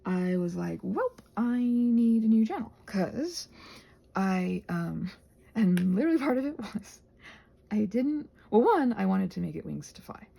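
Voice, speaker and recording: Soft, creaky-voiced woman rambling casually. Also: mid-range voice, sing-song, unhurried. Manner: self-deprecating, meandering, thinking aloud. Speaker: ASMR creator rambling while crafting. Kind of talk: casual rambling ASMR vlog.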